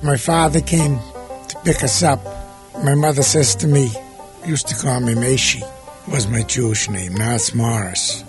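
A man's voice: medium pitch, fairly even intonation, normal speed, music in the background. An older man recalling his arrival as a boy, telling it with a wry touch. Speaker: interviewee. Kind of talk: personal recollection.

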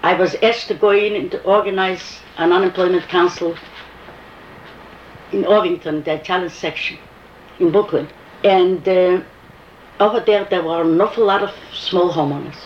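A woman's voice: on the low side, moderate pace, long pauses. Older woman with a foreign accent. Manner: animated storyteller, quotes dialogue. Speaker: interviewee recalling activism. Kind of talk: oral history interview. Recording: muffled, echoey room with faint hiss.

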